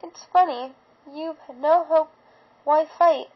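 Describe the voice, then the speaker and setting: A woman's voice: sits high, slow pace, highs cut off. A woman voicing an emotional, unstable young pony in a storybook reading. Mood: mad, gloating. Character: frightened young pony turning gleefully mad killer.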